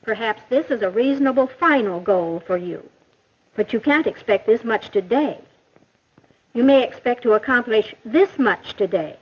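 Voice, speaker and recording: High-voiced, husky 1950s American woman mentor. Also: animated. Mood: encouraging, instructive. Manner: didactic, measured explaining. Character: patient, instructive female mentor to a teenage boy. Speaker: teacher or counselor in an educational film. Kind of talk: 1950s educational film acting. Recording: muffled old 1950s film soundtrack.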